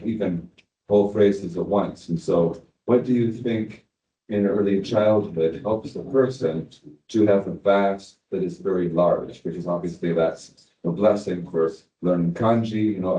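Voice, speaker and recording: A man's voice: deep voice, dark tone, reverberant, far from the mic, muffled recording. A man in the audience asking a chatty question that draws on his own experience.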